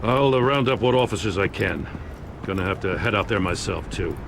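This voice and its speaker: A weary police commissioner. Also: male voice, fairly low pitch, expressive, fairly quick, creaky. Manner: weary, earnest. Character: weary middle-aged male police commissioner. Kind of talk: video game voice acting. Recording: game audio with background noise.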